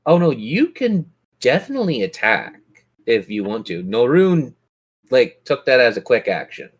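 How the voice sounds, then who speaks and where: A man's voice: mid-range voice, big pitch swings, normal speed, breathy edge. Man running a tabletop game. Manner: descriptive, vivid monster narration. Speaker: game master. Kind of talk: tabletop game narration and casual rulings.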